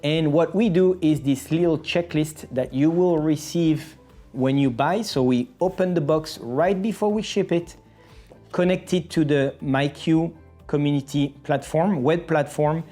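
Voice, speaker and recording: Product explainer presenter, medium pitch, methodical step-by-step delivery, music underneath. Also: measured pace, mellow. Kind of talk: scripted-sounding product explainer.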